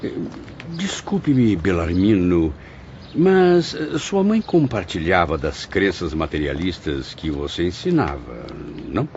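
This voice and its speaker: A man as a deep, expressive consoling spirit. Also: a little hiss or hum, full character voice. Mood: consoling reasoning. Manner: expressive, theatrical, measured reasoning. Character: male spirit narrator, a consoling, reasoning friend and writer. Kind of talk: dramatized audiobook narration and dialogue. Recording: dull lo-fi audio with music behind.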